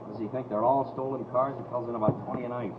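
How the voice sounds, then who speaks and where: A man's voice: medium pitch, fairly even intonation, fast talker, close to an everyday voice. A man playing a bored, sardonic policeman on a night shift, in casual American radio drama dialogue. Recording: dull vintage radio recording, clear hiss.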